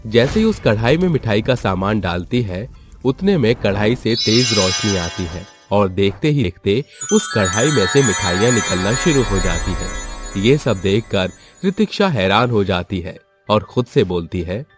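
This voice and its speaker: A man's voice: lowish voice, animated, normal speed. A man narrating a scripted moral story for children. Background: background music.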